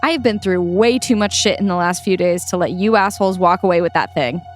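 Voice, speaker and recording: An actress playing a blunt, skeptical young heroine in an audio play, frank and inquisitive. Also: female voice, lowish voice, a little fast, faint music behind, lightly acted. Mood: angry, defiant.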